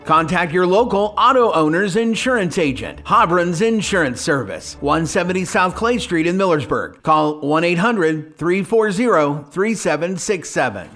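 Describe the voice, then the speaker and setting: A man with a high-pitched voice announces the tag of a radio commercial. Faint music runs under his scripted read. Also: animated, moderate pace, few pauses, a bit bright.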